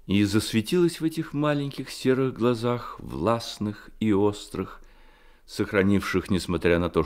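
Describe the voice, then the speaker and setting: Expressive, low-voiced male storyteller. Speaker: narrator. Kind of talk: literary narration in Soviet radio drama.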